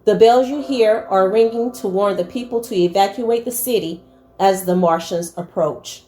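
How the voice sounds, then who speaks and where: A woman playing a solemn news announcer in a radio drama, speaking in a measured, formal way. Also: medium pitch, mellow, faint music behind, lightly acted. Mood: grave. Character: solemn rooftop news announcer during invasion. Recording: faintly noisy radio play ambience.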